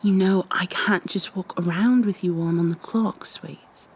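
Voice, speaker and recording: A woman's voice: on the low side, fairly even intonation, normal speed, a little mellow, breathy edge. A woman playing a proud, exasperated goo girl special agent with prim, formal wording in an ASMR roleplay. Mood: weary, earnest. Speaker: voice actor in an audio roleplay.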